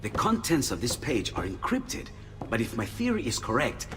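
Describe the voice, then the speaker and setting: An actor playing an excitable, friendly inventor in a video game, animated and courteous, with an Italian accent. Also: male voice, mid-range voice, moderate pace, soft background music. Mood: fascinated. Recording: long hall reverb.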